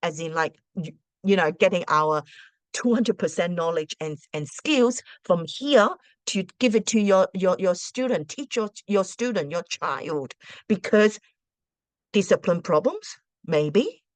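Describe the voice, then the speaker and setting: A teacher whose first language is Cantonese, giving parents casual advice in a chatty way, full of rhetorical questions and restarts. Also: female voice, lowish voice, expressive, a little slow, no echo.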